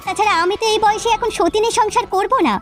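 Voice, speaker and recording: A woman plays a headman's older wife in a cartoon folk tale. Her voice is high and reproachful, full of indignant protest, with faint music behind. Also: steady tone, quick pace. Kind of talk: animated folk-tale voice acting.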